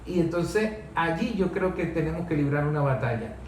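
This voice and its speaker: A man's voice: on the high side, expressive, moderate pace, darkish tone. A Venezuelan man discussing politics with opinions of his own, speaking emphatically and building up repetitive lists. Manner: emphatic, repeats phrases in lists. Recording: echoey room, distant mic, faint noise.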